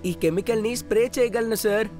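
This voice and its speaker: A woman's voice: on the high side, quick pace, over music, lightly acted. A woman playing an earnest, confident young boy hero in a Telugu cartoon dub. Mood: eager, determined. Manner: earnest, urgent, determined.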